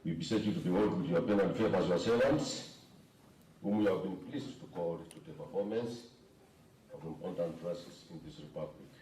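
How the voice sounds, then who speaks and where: Deep mellow Kenyan man reciting a prayer. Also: normal speed. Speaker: official reading the assembly's opening prayer. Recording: roomy, distant microphone, faint background noise.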